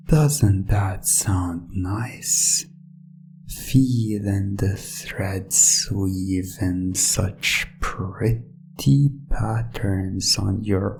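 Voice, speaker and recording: A hypnotist speaking in an ASMR style, commanding and coaxing, with deliberate pauses between short phrases. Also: medium pitch, vocal fry, warm tone.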